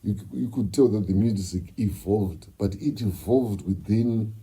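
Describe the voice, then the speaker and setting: Man, very dark tone, husky, low-pitched, emphatic interview guest. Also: a little slow. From Zimbabwe. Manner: emphatic, lecturing. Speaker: guest musician and producer. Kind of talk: candid interview talk.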